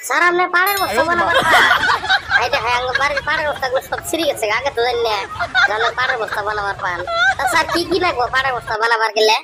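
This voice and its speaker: A man's voice: extremely high pitch, expressive, a loud crowd, heavy background noise, voice pushed up. A man voicing a cheeky young village schoolboy who backtalks. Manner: cheeky, quick, argumentative excuses.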